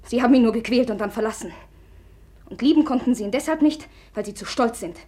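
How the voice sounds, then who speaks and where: A woman's voice: on the high side, fast talker, dark tone, lightly acted. An actress playing Aglaya, a proud and determined young woman, speaking in a commanding, cutting way in a 1950s radio play. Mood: cold determined.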